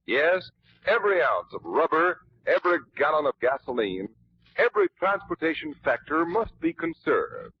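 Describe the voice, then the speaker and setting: Lively American 1940s radio announcer man. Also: medium pitch, breathy edge. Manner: formal, emphatic. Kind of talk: 1940s scripted radio narration. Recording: dull, noisy 1940s radio recording.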